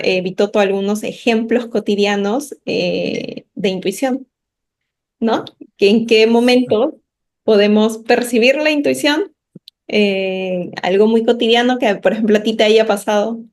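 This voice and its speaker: A woman's voice: fairly high pitch, a little slow. A woman with a Peruvian accent chatting casually as a co-host, choosing her words in a thoughtful, hesitant way. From Peru. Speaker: podcast co-host. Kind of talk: casual conversation.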